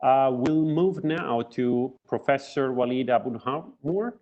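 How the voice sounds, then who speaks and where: A man moderating a panel, thanking speakers and introducing the next one in a brisk, polite way over a video call. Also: medium pitch, dark tone. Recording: muffled lo-fi call audio.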